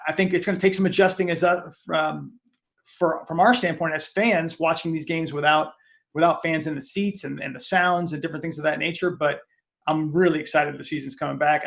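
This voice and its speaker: A man giving casual sports commentary as a guest, in halting, hesitant phrases. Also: on the high side, creaky, a bit bright. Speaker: sports fan-site founder, guest.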